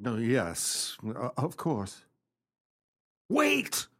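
A man's voice: medium pitch, wildly swooping pitch, drawn-out words, fairly bright tone, exaggerated voice. An actor playing a nervous, obedient male companion in a radio play, hesitant and anxious. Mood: edgy.

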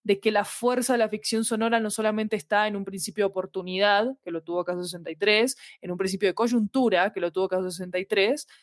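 A woman talks in a conversational interview, explaining her points clearly and with emphasis. Her pitch sits in the middle range, and the sound is dry with very little room. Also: steady tone, fast, hardly any pauses, no echo. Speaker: interview guest, fiction podcast specialist. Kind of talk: conversational discussion.

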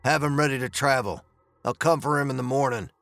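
A man's voice: medium pitch, a little fast, gravelly, fairly bright tone, dry recording, soft background music. A man voicing a stern, blunt former Texas Ranger with a protective streak in a western audiobook. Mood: stern, fed up. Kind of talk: western audiobook character acting.

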